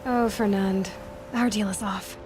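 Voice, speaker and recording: A woman's voice: medium pitch, fairly even intonation, unhurried, close to an everyday voice. Stern young huntress voiced by a woman, music behind. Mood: cold threatening. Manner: firm, terse, dry. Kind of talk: video game voice acting.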